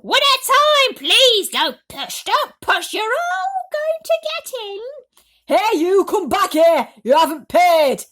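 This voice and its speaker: A woman voicing a greedy, cruel storybook aunt who yells bossily and snaps. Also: very high voice, huge pitch swings, slow pace, pitched above the performer's own voice, full character voice. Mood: bossy yelling. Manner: yelling, snappish, bullying. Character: shrieking greedy bossy cruel aunts, middle-aged women.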